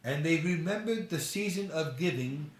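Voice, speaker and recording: Guy reading a story, really animated pitch, kinda high for a man, roomy echo. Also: moderate pace. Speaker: author reading his own story. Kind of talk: scripted story narration.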